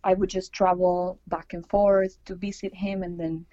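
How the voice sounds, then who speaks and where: A woman's voice: lowish voice, flat delivery, moderate pace, dark tone, dry recording. Young woman from argentina chatting about tango, lots of likes and you knows, dry dull-ish sound. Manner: chatty, many fillers and like, you know. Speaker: tango dancer and teacher. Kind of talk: casual interview answers.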